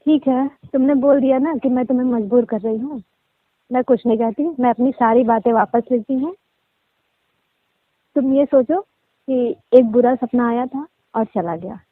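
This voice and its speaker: A young woman with a fairly high voice speaks over a narrow phone line, with a dry, close sound. She keeps her replies short and guarded in a casual conversational style. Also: moderate pace, no echo. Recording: tinny, muffled phone line.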